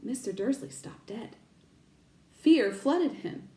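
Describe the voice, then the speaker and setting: High, lively woman reading a storybook aloud. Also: echoey room. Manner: expressive, pauses to explain words to kids. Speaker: teacher reading aloud to children. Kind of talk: storybook read-aloud with questions to listeners.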